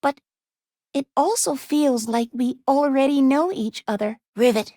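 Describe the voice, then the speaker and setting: Gentle anxious girl robot for a video game, high pitched, careful formal wording, super dry close sound. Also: unhurried, no echo. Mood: earnest, concerned. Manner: formal, precise, careful diction without contractions. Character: gentle, anxious young female robot, earnest and self-doubting. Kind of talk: video game character voice lines.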